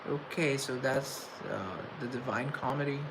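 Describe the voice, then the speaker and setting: A young man hosting a casual livestream, chatting reflectively and reading chat aloud, with a halting, stuttering delivery full of restarts. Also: mid-range voice. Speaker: livestream host. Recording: noisy room tone.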